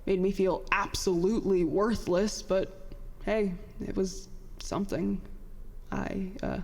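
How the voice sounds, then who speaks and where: A woman playing a bitter, worn-out young inventor in a confessional audio drama monologue, halting, self-deprecating and emotional. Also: mid-range voice, fairly even intonation, a little mellow. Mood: halting, subdued. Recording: long hall-like reverb on voice.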